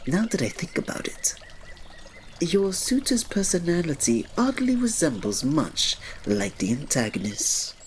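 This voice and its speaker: A man playing a reserved, devoted royal servant in an ASMR roleplay, speaking formally and politely with quiet fondness and restraint. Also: fairly high pitch, sing-song, unhurried, a little hiss or hum. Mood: dryly teasing. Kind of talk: ASMR roleplay, scripted drama.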